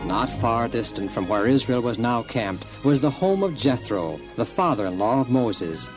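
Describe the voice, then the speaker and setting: A man tells a scripted children's story with deliberate, dramatic delivery. His voice is of middling pitch, and music plays clearly behind him. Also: animated. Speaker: narrator. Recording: music under narration.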